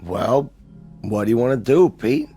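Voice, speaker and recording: A man's voice: medium pitch, expressive, measured pace, warm tone, faint music behind, lightly acted. An actor playing a cold, obedient henchman in a radio drama, speaking tersely. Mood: grim, compliant.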